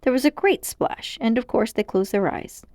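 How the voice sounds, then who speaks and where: High-pitched, very expressive woman storyteller. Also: normal speed, dry recording, studio quality. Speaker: storybook narrator. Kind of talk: expressive read-aloud storytelling.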